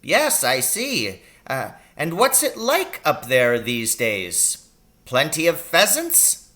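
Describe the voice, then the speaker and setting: An elderly country doctor, played by a man reading a storybook, pitched very high and swooping with feeling. He is small, kindly and excitable, relishing his words and prone to exclaiming. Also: slow, exaggerated voice. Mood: kindly, curious.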